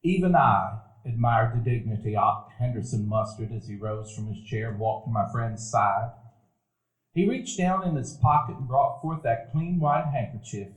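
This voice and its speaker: Man as a reflective narrator, grown man remembering boyhood, measured telling, echoey live stage. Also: medium pitch, lightly acted. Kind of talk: stage radio play narration. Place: dinner theater stage before a live audience.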